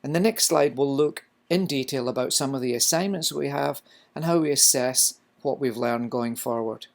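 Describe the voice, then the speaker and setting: A man's voice: mid-range voice, moderate pace, vocal fry. A Scottish man, a culinary instructor, giving a recorded lecture, explaining at length with frequent fillers. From Scotland.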